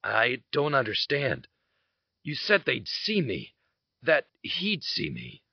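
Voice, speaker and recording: An actor playing an earnest, defiant young soldier, delivered dramatically in an audio drama. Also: male voice, medium pitch, huge pitch swings, takes it slowly, breathy edge, no room sound, heavily acted. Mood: anguished. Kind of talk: audio drama acting with narration. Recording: muffled, loud music behind.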